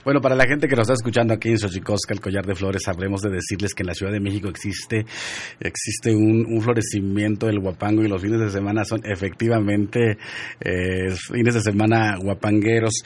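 A man's voice: fairly low pitch, steady tone, brisk. A man hosting a radio show, chatting casually and teasing his guests in a jovial way.

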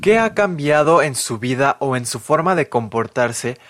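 A man reporting and asking interview questions in a crisp, neutral tone. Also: mid-range voice, animated, normal speed, a bit bright, no echo.

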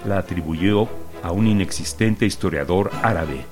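A man narrating a short literary story in a calm, measured reading. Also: low voice, faint music behind.

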